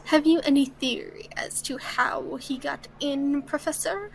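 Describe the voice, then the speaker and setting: Woman doing stern sneering male wizard teacher, high for the part, drawn out and creaky, audio drama. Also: fairly even intonation, slight background noise, rough recording. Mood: grave, suspicious. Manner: slow, clipped, disdainful.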